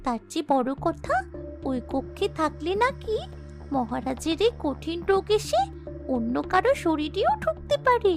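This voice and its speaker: A woman's voice: very high-pitched, big pitch swings, moderate pace, music in the background, exaggerated voice. A woman voicing a brave, earnest young princess in a melodramatic fairy-tale cartoon. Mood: persuasive. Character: brave young princess, tender daughter, resolute about punishing wrongdoers.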